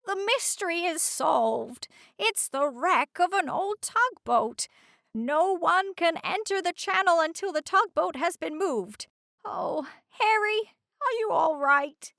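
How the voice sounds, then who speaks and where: Woman voicing a high, watchful lady lighthouse. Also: animated, slow, gravelly, a bit bright, dry recording, clean studio sound, full character voice. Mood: authoritative, concerned. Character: watchful female lighthouse in charge of the channel. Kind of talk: children's storybook character voice.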